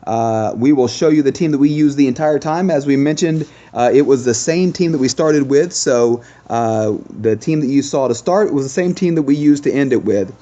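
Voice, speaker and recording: A man's voice: medium pitch, moderate pace, hardly any pauses, mellow, dull audio. A man doing casual, explanatory game commentary as the host of a gaming video.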